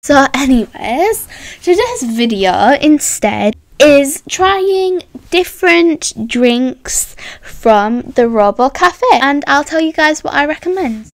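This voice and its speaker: A woman's voice: sits high, lively intonation, takes it slowly, no echo. A woman hosting a voiced roleplay vlog, bubbly and chatty, reacting with gasps and hums. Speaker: roleplay channel host.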